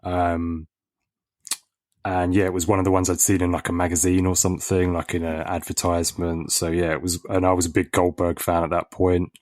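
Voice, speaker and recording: A man's voice: low voice, little pitch movement, brisk, a little mellow, dry recording, clean studio sound. A man co-hosting a podcast, telling a story in a laid-back way with ums. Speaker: podcast co-host. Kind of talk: casual chat.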